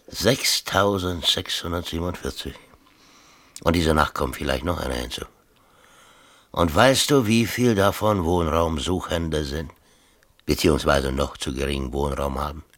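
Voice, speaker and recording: An actor playing a middle-aged mayor in a 1970s radio drama, chummy, colloquial and patronizing while evasive. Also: male voice, extremely low pitch, expressive, measured pace, a bit bright, heavily acted. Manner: familiar, colloquial, brushing off with hearty chumminess.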